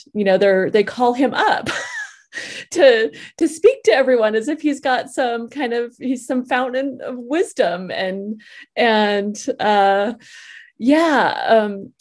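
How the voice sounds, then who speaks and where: A woman leading a discussion, speaking haltingly as she searches for her words. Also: a little high, darkish tone. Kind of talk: conversational discussion.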